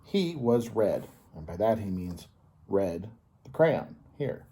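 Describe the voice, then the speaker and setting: Man reading to children, very mellow and soft-edged. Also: on the low side, very animated, slight background noise. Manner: teacherly, pauses to ask the listener simple questions. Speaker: storyteller, host of a children's story time. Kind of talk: read-aloud picture book for children with explanatory asides and questions.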